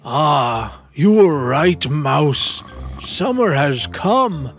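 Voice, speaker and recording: Cartoon lion king, grand, earnest, very slow, lively pitch. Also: full character voice. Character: big proud male lion, king of the forest.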